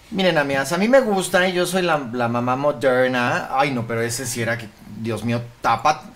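A man's voice: moderate pace, fairly bright tone, natural voice. A man playing a chatty middle-aged Mexican society mom with a Mexican Spanish accent, chatting in character on a studio set. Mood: gossipy. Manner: theatrical, swings between high squeals and low mutters. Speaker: co-host. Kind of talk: improvised comedy chat in character. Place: studio set with beach backdrop.

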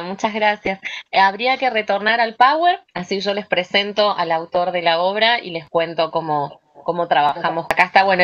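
A woman's voice: on the low side, fairly bright tone. A woman with an Argentine accent presenting slides as a workshop presenter over a video call. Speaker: workshop presenter, script adapter. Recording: dull lo-fi video-call audio.

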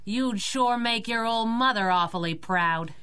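An actress playing a confident, playful young masked crimefighter in a 1930s-style radio drama. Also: female voice, a little high, unhurried, pushed and bright, clean tone. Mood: admiring.

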